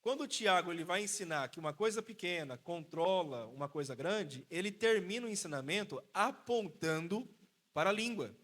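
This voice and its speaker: A preacher with a fairly high voice leads a Bible study. He explains the text patiently, poses rhetorical questions and tells stories to make his point. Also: animated, normal speed, a bit bright. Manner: explanatory, asks rhetorical questions, retells a parable. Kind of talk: Bible study teaching with storytelling.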